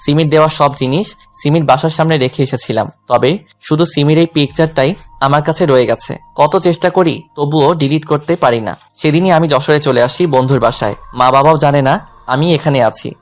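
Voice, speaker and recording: A man's voice: on the high side, a little fast, close to an everyday voice. A man playing a lovelorn, self-effacing young tutor who narrates his own romantic story. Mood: wistful. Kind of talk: scripted first-person romantic story narration with dialogue.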